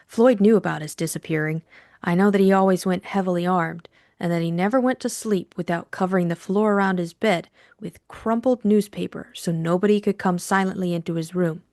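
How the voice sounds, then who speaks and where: A woman's voice: fairly low pitch, a little mellow, no echo, clean studio sound, close to an everyday voice. A woman acting a frightened, secretive female client, timid and earnest, in an audiobook drama.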